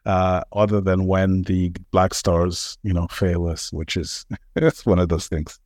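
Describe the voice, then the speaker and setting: A middle-aged man from Ghana speaks as an interview guest, talking eagerly with plenty of ums and restarts. His voice sits on the low side, and the recording is dry and close. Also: level tone, measured pace, a little mellow, dry recording. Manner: enthusiastic, frequent fillers and restarts. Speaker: guest, sports marketer. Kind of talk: conversational interview answers.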